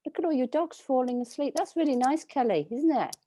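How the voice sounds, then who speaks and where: Soft-spoken woman coaching gently. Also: sits high, slight background noise. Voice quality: calming voice. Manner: soft, slow, soothing coaching. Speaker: dog trainer leading a workshop. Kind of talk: gentle guided instruction on a video call.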